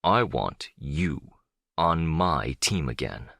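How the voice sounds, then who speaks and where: A man's voice: a bass voice, animated, slow, vocal fry, sharp tone, no room sound, heavily acted. A man playing a powerful, middle-aged crime boss in an audiobook drama, controlled, condescending and smugly self-assured. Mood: smug, commanding. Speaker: audiobook voice actor.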